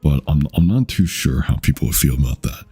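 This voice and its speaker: A young man with an extremely low, deep voice that sounds dark and mellow, with a husky edge. He chats casually with his viewers, rambling and restarting his sentences, dropping fillers and swear words. Faint music plays softly behind him. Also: animated. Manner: rambling, halting, with many fillers and self-corrections; swears casually and laughs often. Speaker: VTuber streamer. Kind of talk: casual chat with stream viewers.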